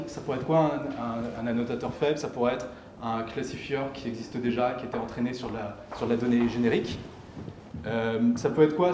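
A young man speaks at a tech meetup in a mid-range voice. He explains step by step and haltingly, often putting a question and then answering it. The room is clearly echoey, with audible noise behind him. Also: medium pitch. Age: young adult. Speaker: tech meetup speaker presenting his company's software. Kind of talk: technical conference presentation. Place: indoor lecture room, at a lectern.

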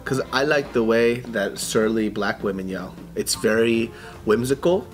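A man's voice: on the low side, lively intonation, a little slow. A man in his thirties in casual comedic banter, exaggerated and profane, talking at a table in an office. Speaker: panel commentator. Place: large open office room, indoors. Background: music.

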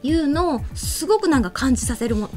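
Young woman, high pitch, quick pace, talk show co-host, casual chat. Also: animated. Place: small studio, indoors. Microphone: desk microphone. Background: background music.

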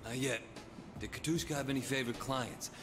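A man's voice: medium pitch, a little fast, over music, natural voice. A man playing a confident young gangster in a video game, blunt and street-tough. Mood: businesslike. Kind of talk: naturalistic video game acting.

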